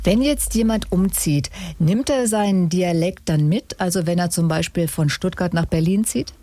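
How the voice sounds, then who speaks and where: A woman's voice: lowish voice, moderate pace, dark tone, no room sound. A woman presenting a radio programme in a composed, structured way, with scripted introductions and interview questions. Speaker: radio host interviewing an expert. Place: radio studio.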